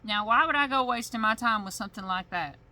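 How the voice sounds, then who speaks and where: A woman's voice: a little high, quick pace. A woman voicing a defensive, overworked male village sheriff in a thick put-on drawl during a bedtime story reading. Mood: indignant. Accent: put-on thick rural drawl. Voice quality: loud, indignant, rising when challenged.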